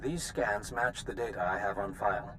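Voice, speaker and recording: An actor playing a composed, wry official of a bounty hunt in a video game, measured and businesslike. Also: male voice, on the low side, noisy background, close to an everyday voice.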